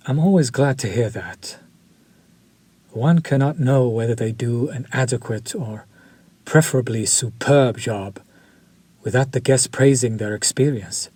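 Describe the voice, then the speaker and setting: An actor playing a refined, possessive male vampire chef who speaks formally, slowly and with quiet menace, in an audio roleplay for a listener. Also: medium pitch, breathy edge, no echo. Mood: courteous. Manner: slow, formal, seductive and menacing.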